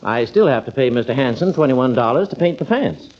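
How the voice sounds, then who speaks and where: A man's voice: medium pitch, expressive, fairly quick, natural voice. Man acting a grumbly american doctor dad in an old radio comedy, sarcastic, very up and down, dull muffled sound. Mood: indignant. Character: exasperated, wry doctor father, grudgingly giving in. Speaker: radio actor.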